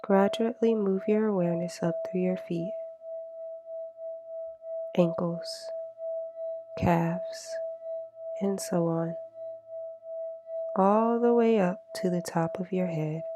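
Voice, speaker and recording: A woman leading a slow, scripted guided meditation in a calm, gentle, soothing way. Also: fairly low pitch. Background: meditation music with singing bowls.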